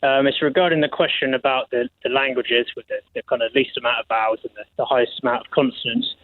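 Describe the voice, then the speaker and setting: A man's voice: medium pitch, steady tone, quick pace, no room sound. A British man calling a radio phone-in, explaining informally and earnestly, hesitating as he searches for words. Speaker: phone-in caller. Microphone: telephone. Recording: muffled dry phone line.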